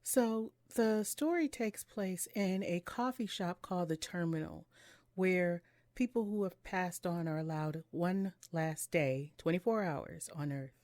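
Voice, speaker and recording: A woman's voice: lowish voice, measured pace, a little mellow, no echo, clean studio sound. A woman giving casual let's-play commentary and reading game text aloud in her own voice, with music behind her. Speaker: game streamer reading visual novel dialogue aloud.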